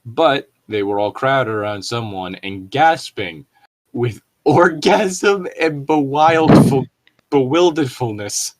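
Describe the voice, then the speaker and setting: A man's voice: mid-range voice, slow pace. A man co-hosting a comic read-aloud of bad fan fiction, amused and mocking, with casual sweary commentary. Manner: amused, mocking, breaking into chuckles.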